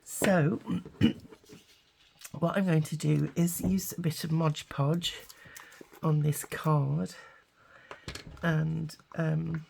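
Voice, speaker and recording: Gruff, hoarse woman with a cold. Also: low-pitched, steady tone, extremely slow, long pauses, darkish tone, a little hiss or hum. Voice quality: gruff, gravelly. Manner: hesitant, many ums and restarts, mutters while working. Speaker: craft channel host. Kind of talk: casual crafting tutorial.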